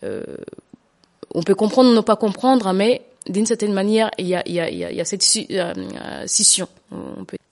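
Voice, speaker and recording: A woman's voice: mid-range voice, very animated, normal speed, darkish tone. A middle-aged woman giving personal testimony in an interview, speaking hesitantly and often repeating herself as she searches for words. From Rwanda. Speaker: genocide survivor giving testimony.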